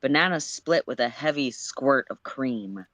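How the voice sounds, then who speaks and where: A man's voice: mid-range voice, animated, measured pace, fairly bright tone, no echo. A man voicing a cocky, evasive, wisecracking teenage boy in a story reading, slangy and flippant. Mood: teasing.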